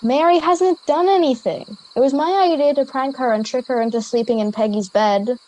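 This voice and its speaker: Young aussie girl acting brave boarding school kid in a radio play, high pitched, earnest and firm. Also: moderate pace, darkish tone, natural voice, low-quality recording. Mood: firm, defiant. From Australia. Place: at home over a video call.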